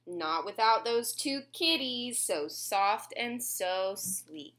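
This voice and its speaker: A woman in her twenties reading a picture book aloud at home, expressively and clearly phrased for young children. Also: mid-range voice, lively intonation, slow, a bit bright. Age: 20s. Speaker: children's story reader. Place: small room at home, indoors.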